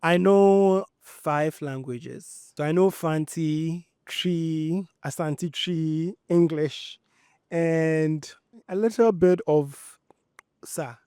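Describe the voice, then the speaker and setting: A man's voice: on the high side, very slow, dark tone, smooth, no echo, studio quality. A man from Ghana, a student, answering interview questions thoughtfully and with enthusiasm.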